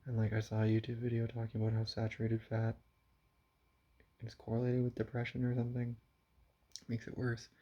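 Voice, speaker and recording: A young man talking quietly into his camera at home in a confessional vlog, halting and subdued, with frequent sighs. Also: mid-range voice, monotone. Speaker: vlogger. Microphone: camera mic. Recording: dull lo-fi camera audio.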